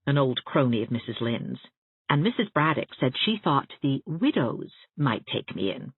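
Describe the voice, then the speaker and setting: A woman's voice: a bass voice, big pitch swings, normal speed, husky, no room sound. A woman narrating a first-person novel as an audiobook, reading expressively. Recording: thin, band-limited phone-like sound.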